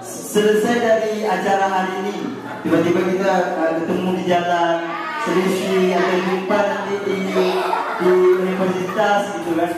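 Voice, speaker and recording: A young man from Aceh with an Acehnese Indonesian accent, leading a calligraphy workshop in a relaxed, chatty way and putting questions to the students. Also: high-pitched, normal speed, over music. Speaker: calligraphy trainer. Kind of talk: casual workshop talk to students. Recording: echoey hall, voice from far off, students around.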